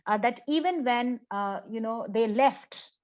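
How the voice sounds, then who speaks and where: Indian woman, English professor, conference talk, thoughtful and halting, muffled call audio. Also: a little high. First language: Hindi. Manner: reflective, halting, many fillers and restarts. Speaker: plenary speaker, English professor. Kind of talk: conference talk answering a question.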